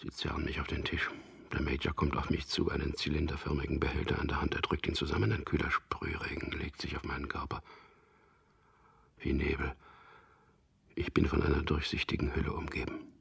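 A very deep, heavily gravelled male prisoner in a radio drama. He tells his ordeal as a terse, stoic inner monologue, half whispered and husky, with very little melody. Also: little pitch movement, a little fast, a bit bright, full character voice. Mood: pained, hushed. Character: captive male prisoner narrating his own interrogation, stoically enduring. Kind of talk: first-person radio drama narration in present tense.

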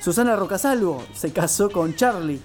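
Argentinian guy w really high voice, chatty radio cohost, bit of music behind. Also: lively intonation, normal speed. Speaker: radio show co-host. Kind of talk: casual chat.

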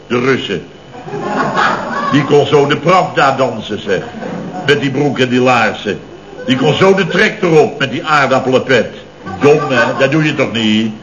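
An actor playing an indignant, rambling middle-aged contractor boss in a radio comedy, full of exclamations and rhetorical questions. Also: male voice, mid-range voice, unhurried, pauses often, natural voice. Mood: self-satisfied. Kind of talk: 1970s Dutch radio comedy acting. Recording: dull, noisy old radio recording.

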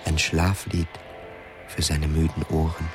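A man's voice: a bass voice, completely flat delivery, measured pace. A man reading literary narration in a radio play. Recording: studio radio play with music underneath. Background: clearly audible music.

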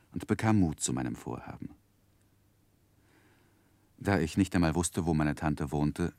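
An earnest narrator looking back on his boyhood in a 1960s radio drama. The voice is very low yet moves expressively, with measured, literary phrasing and a close, dry sound. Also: a little mellow, no echo. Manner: measured, reflective, literary storytelling. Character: earnest grown man narrating his own boyhood memoir.

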